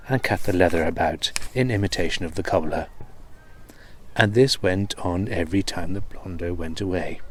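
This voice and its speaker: A man's voice: sits low, slight background noise. A man reading an old comic tale aloud as a storyteller.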